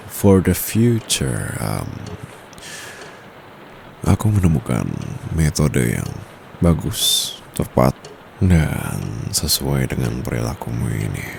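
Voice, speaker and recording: A man's voice: very animated, heavily acted. A man with an Indonesian accent playing a seductive, dominant private tutor in an intimate ASMR roleplay, murmuring and whispering smugly close to the microphone. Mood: sly, persuasive. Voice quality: very deep, gravelly, breathy murmur. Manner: slow, teasing, drawn-out delivery slipping into whispers. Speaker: amateur voice actor. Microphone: close condenser microphone. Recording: close mic with clear background noise.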